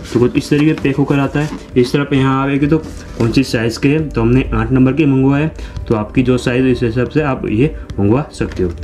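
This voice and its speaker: Young male unboxing host, mid-pitched and mellow, chatty and repetitive, talks straight to viewers. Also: moderate pace, faint music behind. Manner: repetitive, explanatory, addresses viewers as friends. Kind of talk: product unboxing narration. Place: small room indoors.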